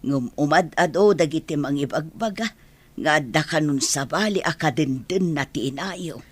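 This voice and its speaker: An actress playing a caring mother and grandmother in a village radio drama, speaking in a soft, comforting way. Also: sits low, normal speed, breathy edge. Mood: tender.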